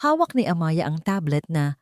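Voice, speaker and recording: A woman narrator delivers a scripted dramatic story. Her voice sits a little low, and the recording is very dry, with no room sound. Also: big pitch swings, moderate pace, a little mellow, dry recording.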